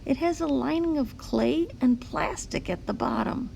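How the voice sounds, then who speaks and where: A woman's voice: sits high, moderate pace, gravelly, darkish tone, a little hiss or hum. A woman reading a picture book aloud to young children, explaining things simply like a teacher. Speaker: teacher-like read-aloud host.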